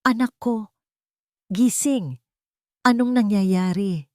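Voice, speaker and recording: A woman's voice: medium pitch, slow pace, no room sound, clean studio sound, pitched above the performer's own voice, natural voice. A woman voicing a rich, betrayed father in an audiobook drama, with emphatic, commanding outbursts. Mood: panicked. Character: rich man, cold, betrayed, furious, later panicked father.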